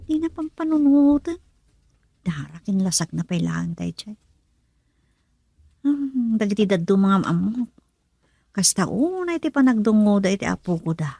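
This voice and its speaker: A woman's voice: mid-range voice, sing-song, a little slow, creaky, pauses often, mellow, husky, no echo, studio quality, exaggerated voice. A Filipino woman acting in a radio drama, playing an outspoken woman protective of her grandchild. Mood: brooding.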